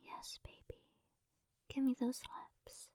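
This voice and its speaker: A woman doing a whispered romantic ASMR roleplay, speaking softly and coaxingly, close to the microphone. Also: slow, no room sound. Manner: soft breathy whispering, affectionate and coaxing. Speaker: ASMR performer.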